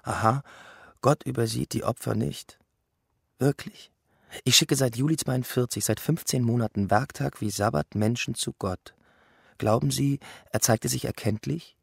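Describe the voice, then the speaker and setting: A husky, creaky cynic as a camp doctor in a radio drama. He stays calm and almost respectable while he mocks, each phrase measured and composed. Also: mid-range voice, no room sound, studio quality. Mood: cool sarcasm. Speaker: actor and reader in a radio play. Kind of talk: scripted dramatic reading.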